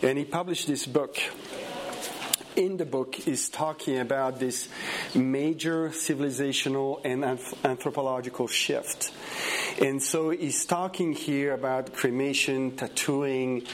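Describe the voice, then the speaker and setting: A man's voice: mid-range voice, measured pace, slight background noise. A man with a French accent, a native French speaker, giving a conference talk to a room in a church, hesitant with many fillers and restarts and chatty asides. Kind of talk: conference lecture.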